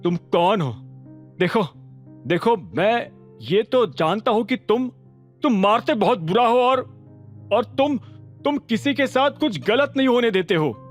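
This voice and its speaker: A man's voice: high voice, very animated, measured pace, pitched above the performer's own voice. A man voicing a nervous, indignant, loyal gangster henchman in an audio drama, stammering and repeating words. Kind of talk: audio drama character acting. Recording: music behind.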